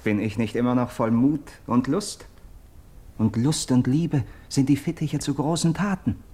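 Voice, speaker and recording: An actor playing a steadfast, hopeful young friend, speaking classical verse drama in an earnest, elevated manner. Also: male voice, medium pitch, mellow, slightly rough. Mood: devoted, ardent. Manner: earnest, elevated, measured declamation. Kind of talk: classical German verse drama, stage declamation.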